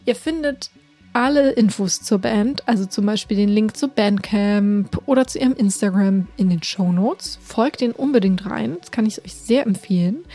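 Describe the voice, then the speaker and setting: A woman's voice: mid-range voice, warm tone. A woman hosting a radio show and podcast, talking casually with fillers and restarts and poking fun at herself. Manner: chatty, self-deprecating, with fillers and restarts. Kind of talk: casual radio intro and interview. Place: radio studio.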